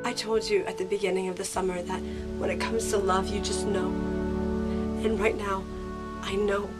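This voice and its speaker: A woman's voice: mid-range voice, little pitch movement, normal speed, over loud music, lightly acted. A woman playing a heartfelt sixteen-year-old girl in an audio drama, emotional and sincere. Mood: tender, sincere.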